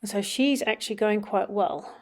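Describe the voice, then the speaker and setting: A middle-aged woman with a slightly sniffly, runny nose, casually commenting over videos of her children riding, with frequent ums and some quiet murmured asides. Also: mid-range voice, moderate pace. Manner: chatty, many ums, drops to quiet murmurs while watching. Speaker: vlogger.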